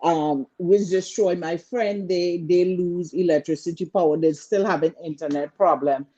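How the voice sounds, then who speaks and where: A woman from Trinidad with a Trinidadian accent, chatting casually in an animated, colloquial way. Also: lowish voice, normal speed, dull audio. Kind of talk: casual chat.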